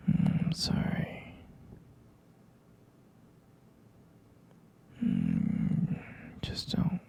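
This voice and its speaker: Gentle half-vampire boyfriend, performed by a man, ASMR roleplay. Heavy vocal fry, drowsy halting whispers, unhurried and soothing. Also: on the high side, exaggerated voice. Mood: soft, affectionate. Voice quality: breathy, husky whisper, gravelly fry.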